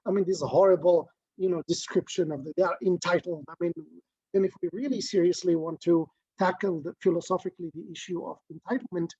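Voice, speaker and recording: Man from morocco, gravelly and a bit high, talking ideas over slowly, dull dry lo-fi sound. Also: mellow, dry recording. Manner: thoughtful, philosophical, many restarts and fillers. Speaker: interview guest. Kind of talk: reflective conversational interview.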